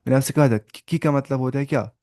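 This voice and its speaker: A man of middle pitch teaching a class over a video call. He speaks patiently and repeats things, slowing down to sound out each example phrase. The sound is dry and close, with no room echo. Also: dark tone. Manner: patient, repetitive explaining. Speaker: Arabic teacher. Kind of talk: online class lecture.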